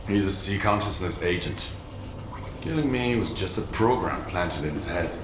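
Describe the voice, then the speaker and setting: A cold veteran stalker who trusts no one speaks in clipped, low lines. The voice sits very deep but swings widely in pitch. It echoes as in a roomy game cutscene, with music under it. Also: male voice, lively intonation, moderate pace, background noise. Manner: low, terse, distrustful interrogation. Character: grim veteran male stalker, guarded and cold. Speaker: game character.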